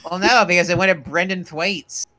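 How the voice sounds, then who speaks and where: Bright, teasing man. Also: high-pitched, steady tone, brisk. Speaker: podcast co-host. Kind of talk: casual comedic banter.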